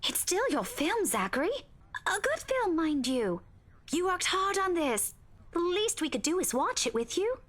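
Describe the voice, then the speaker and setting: A high-pitched, prim young woman from a visual novel game. She is bossy and reproving, the studious one among friends. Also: big pitch swings, moderate pace, a bit bright, heavily acted. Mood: earnest.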